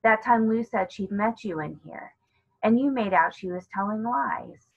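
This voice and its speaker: A woman's voice: medium pitch, lively intonation, measured pace, vocal fry, highs cut off, voice pushed up. A woman voicing a hearty, old-fashioned eldest schoolboy with an eager streak in a storybook reading. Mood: indignant. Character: decent, bossy eldest schoolboy brother, eager adventurer.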